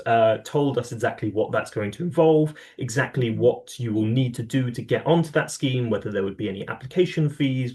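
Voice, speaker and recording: A man's voice: mid-range voice, darkish tone. A man, an advocacy coordinator, explaining policy in conversation, with many fillers and restarts.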